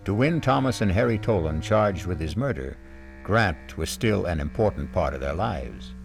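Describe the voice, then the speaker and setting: A man narrating a 1970s radio mystery drama in a measured, dramatic storytelling manner. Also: low-pitched, animated, creaky, soft background music. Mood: grave. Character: male mystery narrator, grave, ominous.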